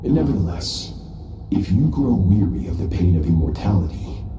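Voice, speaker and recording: A man voicing an aloof, self-assured master swordsman in a game, calm and measured. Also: deep voice. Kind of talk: game voice acting. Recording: roomy, distant, faint music.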